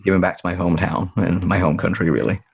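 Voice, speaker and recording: A man from Tanzania, talking as a podcast guest in a relaxed, rambling way that often corrects itself. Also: deep voice, fast talker, creaky, husky. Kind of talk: podcast interview conversation.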